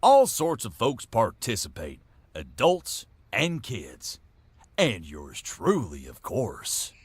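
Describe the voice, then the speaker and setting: A man's voice: on the low side, wildly swooping pitch, slow pace, husky, dry recording, full character voice. A man voicing a proud, grumbling small-town food seller in a video game, with a folksy, theatrical way of talking. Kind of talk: video game character acting.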